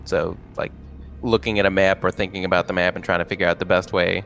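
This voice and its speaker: A man's voice: low-pitched, level tone, fast talker, creaky, a bit bright, faint music behind. A man playing a tabletop game in casual chat, hesitantly thinking aloud.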